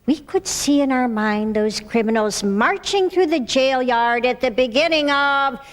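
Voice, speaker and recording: A woman's voice: sits high, measured pace. An older woman reading scripted narration at a microphone. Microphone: stand microphone. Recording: slightly reverberant hall.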